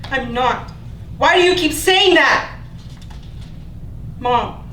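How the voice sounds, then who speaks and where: A woman's voice: high-pitched, animated, extremely slow, sharp tone, heavily acted. A woman playing a pleading, guilt-ridden young daughter in a staged play reading. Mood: defiant. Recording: echoey room, distant mic, faint noise.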